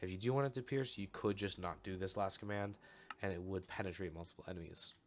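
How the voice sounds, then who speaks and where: A young man recovering from a cold, calmly walking through a step-by-step game tutorial with a slightly off voice. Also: sits low, quick pace, slight background noise. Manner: relaxed, explanatory, steady walkthrough with fillers. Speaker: channel host giving a game tutorial. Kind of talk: casual screen-recorded tutorial.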